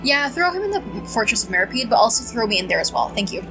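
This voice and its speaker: A woman streamer giving casual, reactive game commentary, halting and repetitive, exasperated and prone to swearing. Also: a little high, very animated, music in the background. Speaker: gaming streamer.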